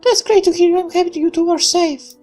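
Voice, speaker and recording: A man voicing a polite, caring young woman in a visual novel, gentle and girlish. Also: extremely high pitch, fairly even intonation, a little fast, soft background music, voice pushed up. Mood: caring, composed.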